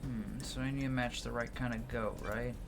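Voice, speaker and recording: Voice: mid-range voice, steady tone, moderate pace, gravelly, background noise. A game streamer of unclear sex talking casually and informally to themself while playing on a livestream. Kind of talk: casual game livestream commentary, voicing dialogue aloud. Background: game music.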